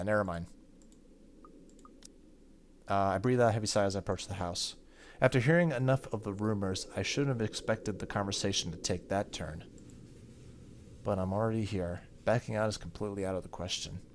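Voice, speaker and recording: Low-voiced man doing let's play commentary. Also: moderate pace, pauses often, slight background noise. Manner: casual, joking asides. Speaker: gaming video host. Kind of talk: let's play commentary, reading game narration aloud.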